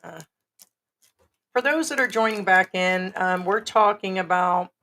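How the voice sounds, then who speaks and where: A woman's voice: medium pitch, a little slow, pushed and bright, slight background noise. A woman co-hosting a livestream, telling a story in a casual, rambling way with lots of fillers. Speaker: livestream co-host.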